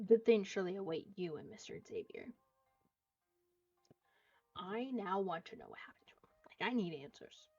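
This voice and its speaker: A woman's voice: mid-range voice, animated, moderate pace, long pauses, mellow, slightly rough, highs cut off, faint music behind. A woman live streaming a game, reading dialogue aloud and reacting, sometimes stumbling over the words.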